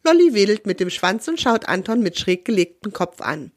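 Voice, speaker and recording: A woman host of a children's podcast, hoarse with a cold, reading a story aloud in a friendly, expressive manner. Her voice rises and falls a lot, and it sounds close and dry on the mic. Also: medium pitch, moderate pace, no room sound.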